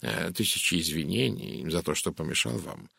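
A man voicing a polite, methodical little Belgian detective in an audiobook, courteous, measured and deliberate. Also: deep voice, expressive, gravelly, pushed and bright, slightly rough, dry recording, studio quality, voice pushed down, heavily acted. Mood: polite, probing. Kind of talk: audiobook character voice.

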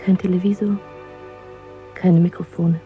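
A woman's voice: lowish voice, little pitch movement, measured pace, close to an everyday voice. A woman playing a secretive, self-possessed conspirator in a radio drama. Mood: conspiratorial. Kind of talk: German radio drama acting. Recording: dull old radio recording, music behind.

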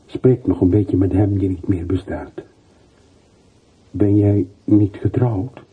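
An actor playing a weary, regretful middle-aged former soldier over a telephone in a radio play, subdued, in halting short phrases. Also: male voice, low-pitched, breathy edge, full character voice. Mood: regretful, longing. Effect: telephone voice filter. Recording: muffled phone-like old radio recording.

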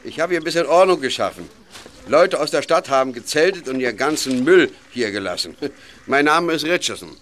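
A friendly, folksy village man tells eerie tales in a radio play. His delivery is very animated, with faint outdoor insect noise behind him. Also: on the high side, a little fast. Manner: folksy, storytelling.

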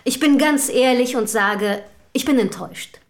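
An actress in a German historical radio drama playing an earnest, outspoken early feminist from the city, speaking formally and rhetorically. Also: female voice, fairly high pitch, a little slow. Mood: candid, probing.

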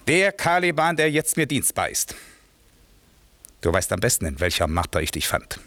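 Dry-sounding, commanding magician. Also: male voice, mid-range voice, very animated, fairly bright tone, no room sound. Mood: angry, threatening. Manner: measured, imperious, declamatory. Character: stern, commanding male magician master of spirits. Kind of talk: 1960s German radio drama verse acting.

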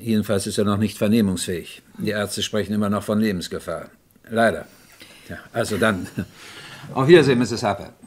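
Guy acting a police inspector in an old radio crime play, deep-ish voice, polite but keeps probing, bit hesitant. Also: a little fast, close to an everyday voice. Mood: polite, measured. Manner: hesitant, courteous, casually insistent questioning.

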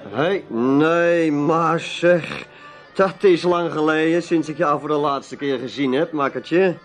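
With music behind him, a slangy, boisterous barfly in a radio play. His voice sits fairly high as he drawls and blusters in an odd, gruff manner. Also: takes it slowly. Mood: hearty. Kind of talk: radio drama acting.

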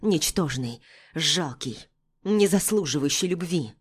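Woman narrator doing wronged heroine in an audiobook, defiant, emphatic and deliberate, lowish female voice. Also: expressive, husky, exaggerated voice. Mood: contemptuous.

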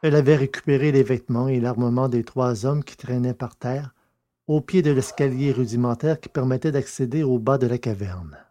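A man reading science fiction aloud with a measured, deliberate delivery. His tone is mellow and dark, at a middling pitch for a man. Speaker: narrator and producer. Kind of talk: audiobook narration.

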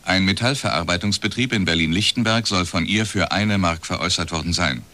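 A man's voice: low voice, a little fast, sharp tone. An actor playing a male radio newsreader, delivering a bulletin in a formal, neutral, precise manner within a radio drama.

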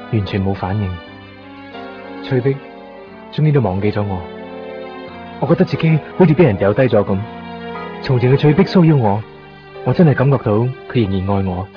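A man's voice: mid-range voice, lightly acted. An actor playing a heartsick man in a radio drama, confiding a downcast inner monologue with a Hong Kong accent. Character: heartsick man brooding over a lost love. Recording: dull 1990s radio recording, music behind. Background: clear background music.

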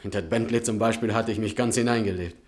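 A man's voice: fairly low pitch, fairly even intonation, quick pace, natural voice. An actor in a German radio play, playing an earnest, admiring young man of letters who speaks politely and articulately. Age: young adult. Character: earnest, admiring young male writer, respectful and eager.

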